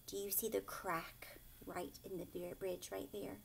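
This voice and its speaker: A woman in her thirties reading a children's picture book aloud expressively, pausing to explain and ask questions like a teacher. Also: mid-range voice, little pitch movement, creaky, slight background noise. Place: furnished living room, indoors.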